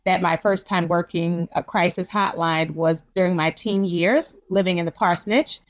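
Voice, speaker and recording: Woman, lowish voice, psychologist, reflective, personal storytelling. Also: steady tone, moderate pace. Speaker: psychologist, interviewee. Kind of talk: conversational interview.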